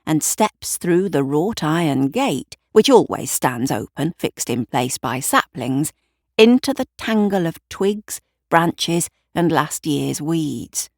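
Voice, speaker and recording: A woman's voice: low voice, lively intonation, takes it slowly, no echo, clean studio sound. A woman narrating a scripted story in a wry, descriptive manner. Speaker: writer and narrator.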